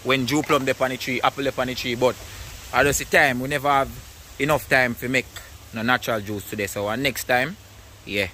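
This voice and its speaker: A man's voice: mid-range voice, steady tone, a little fast, bright, forceful tone, slight background noise. Laid-back Jamaican man vlogging outdoors. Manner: laid-back, laughs often, patois slang. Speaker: vlogger host. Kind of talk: casual outdoor food vlog chat. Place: rural yard outdoors.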